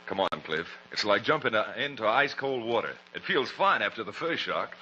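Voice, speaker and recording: Firm folksy cowboy hero, bright forceful voice, old radio western, muffled n hissy. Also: male voice, on the low side, sing-song, fairly quick. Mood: friendly, calm. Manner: commanding, folksy cowboy talk. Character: Red Ryder, steady heroic cowboy, firm and fatherly. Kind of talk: 1940s radio western acting.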